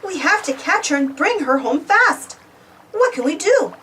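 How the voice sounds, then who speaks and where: A woman reading a storybook aloud to children in an expressive, exclamatory way, putting questions to her young listeners. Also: extremely high pitch, moderate pace, a little hiss or hum. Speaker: storybook reader. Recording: distant from the mic.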